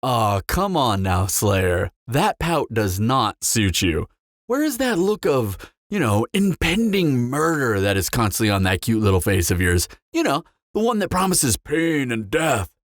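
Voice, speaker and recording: A man's voice: mid-range voice, big pitch swings, dry recording, clean studio sound. Man acting a smug, swooping flirtatious vampire. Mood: teasing. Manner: teasing, smug drawl with dramatic pauses. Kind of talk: scripted audio roleplay, intimate character acting.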